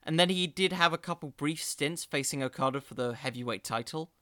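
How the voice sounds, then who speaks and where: A man's voice: a little high, fairly quick, bright, forceful tone, dry recording, clean studio sound. A man hosting a solo podcast, giving casual, opinionated commentary in an informal, digressive way. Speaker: podcast host.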